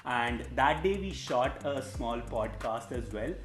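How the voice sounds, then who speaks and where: A man hosting a casual interview, friendly and a bit halting, with restarts as he talks. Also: mid-range voice, expressive, roomy sound, soft background music. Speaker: host.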